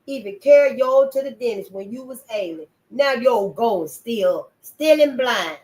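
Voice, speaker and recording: A woman's voice: on the high side, expressive, measured pace, a little mellow, voice pushed up, low-quality recording. A woman voicing a spiteful young girl who taunts a boy in sassy dialect. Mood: taunting.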